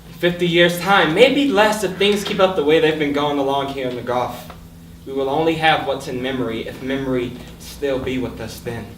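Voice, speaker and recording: Distant echoey room, male actor as mournful Louisiana Gulf man, stage monologue, medium pitch. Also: moderate pace, slight background noise, close to an everyday voice. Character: mournful Louisiana Gulf native grieving his land. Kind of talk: staged dramatic reading, monologue. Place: live staged reading indoors.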